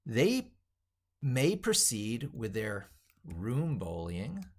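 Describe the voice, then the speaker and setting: A man's voice: medium pitch, wildly swooping pitch, extremely slow, slightly rough. A middle-aged man reading his own essay aloud as he works on it, in a theatrical, wordy, emphatic way. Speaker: tech educator and video host.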